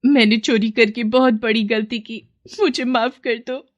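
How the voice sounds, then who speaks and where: Guilty neighbour woman, played by a female narrator; high, loud, pleading, storybook melodrama. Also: steady tone, unhurried, dull audio, natural voice. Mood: pleading, remorseful. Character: jealous neighbour woman turned tearfully remorseful.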